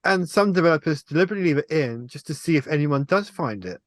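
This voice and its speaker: A man's voice: on the high side, expressive, quick pace, no room sound, clean studio sound. A man chatting casually as a panelist in a film review on a video call.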